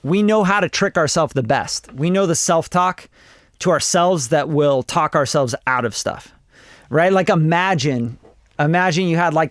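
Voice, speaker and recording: Man, forties, rambling livestream talk, blunt and profane, slightly high voice. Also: dry recording, clean studio sound. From Costa Rica. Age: 40s. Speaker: music producer reviewing songs live. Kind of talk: casual livestream chat.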